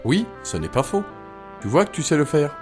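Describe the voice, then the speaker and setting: A man acting as a calm, reassuring mentor in an audio drama, encouraging and instructive. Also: fairly high pitch, moderate pace, over music. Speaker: actor in an amateur audio fiction.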